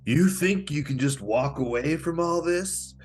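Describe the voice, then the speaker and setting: Man playing a smug, husky villainous con artist. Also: on the high side, darkish tone, soft background music. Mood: threatening. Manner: taunting, theatrical, deliberate threats. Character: smug, menacing male con-artist pickup guru villain. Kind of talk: comedic table read of a movie script.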